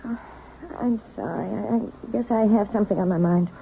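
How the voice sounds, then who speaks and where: An actress playing a gentle, frightened female piano teacher in a 1970s radio drama, hesitant and stammering. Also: medium pitch, moderate pace, gravelly, natural voice. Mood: tense. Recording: tinny lo-fi old radio broadcast with faint hiss.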